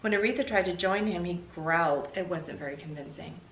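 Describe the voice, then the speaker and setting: A woman's voice: lowish voice, brisk, far from the mic. A woman with an American accent reading a children's book aloud as a teacher would. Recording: roomy, noticeable background hiss.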